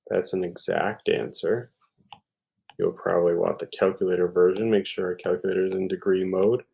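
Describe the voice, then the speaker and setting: A man's voice: deep voice, level tone, moderate pace, gravelly, dark tone, slightly rough. A man tutoring math, talking through a problem step by step in an informal way, thinking aloud with hesitations and self-corrections.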